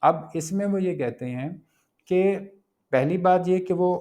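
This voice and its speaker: A man's voice: high-pitched, slow, darkish tone. A man with a Pakistani accent giving an exam-prep law lecture, explaining step by step and reading out English phrases.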